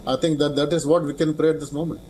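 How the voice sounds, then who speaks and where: A man with an Indian accent giving expert commentary in a live news interview, speaking haltingly and repeating words as he goes. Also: fairly high pitch, fairly even intonation, darkish tone, slight background noise. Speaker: aviation expert, interview guest.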